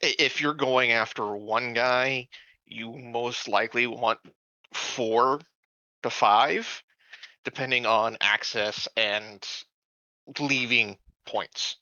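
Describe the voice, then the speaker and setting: A man's voice: mid-range voice, slow, pushed and bright, dry recording, muffled recording. A man playing a tabletop roleplaying game, casually chatting through tactics.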